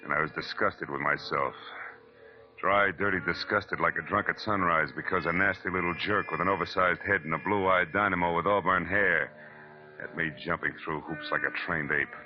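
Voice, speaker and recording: A man's voice: low voice, normal speed, lightly acted. A man acting a hard-boiled private detective in a 1940s radio noir, wry, sardonic and slangy, in both dialogue and narration. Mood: wry narrating. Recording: muffled, very noisy old radio recording.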